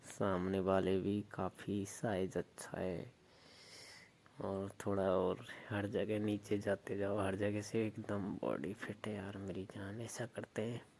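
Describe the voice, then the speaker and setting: Gravelly young man sweet-talking on phone. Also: fairly low pitch, level tone, slow, darkish tone. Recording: noisy phone call recording.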